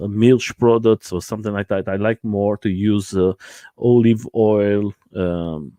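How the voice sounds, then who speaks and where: A man from Portugal with a Portuguese accent, hosting a casual chat and speaking haltingly with many fillers. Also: lowish voice, fairly even intonation, dark tone, no echo. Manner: hesitant, many uh fillers, laughs easily. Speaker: livestream host.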